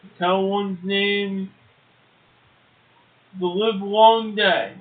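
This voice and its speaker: A man's voice: high voice, steady tone, very slow. A man co-hosting a poetry show, making casual comments and reading short verse aloud. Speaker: poetry podcast co-host, poet. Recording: muffled, tinny phone-like audio.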